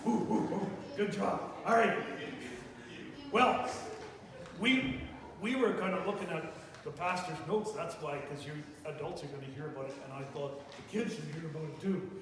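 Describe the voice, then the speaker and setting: A man voicing an excitable, chatty puppet host for children, flustered and bantering, often repeating himself. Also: a little high, moderate pace, close to an everyday voice. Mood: enthusiastic. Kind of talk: improvised church puppet show. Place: large church room with live children's audience. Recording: echoey, noisy hall, far from mic.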